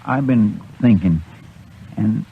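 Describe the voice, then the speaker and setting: An actor playing a loyal, timid deputy in a 1950s radio drama, who stammers and talks deferentially. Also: male voice, fairly low pitch, slow pace. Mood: bashful. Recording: lo-fi old radio transcription with hiss.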